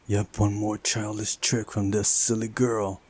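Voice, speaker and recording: A man's voice: fairly low pitch, flat delivery, a little slow, darkish tone, slight background noise. A man reading a visual novel aloud during a playthrough, narrating the story and adding casual asides of his own.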